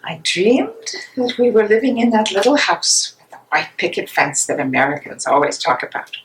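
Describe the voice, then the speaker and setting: A woman's voice: mid-range voice, very animated, moderate pace, lightly acted. A woman playing an emotional young German fiancée of an imprisoned dissident in a dramatic stage reading. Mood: wistful, tender. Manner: emotional, accusing, swings to tender. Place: library meeting room, live reading. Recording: echoey room, noisy with clicks.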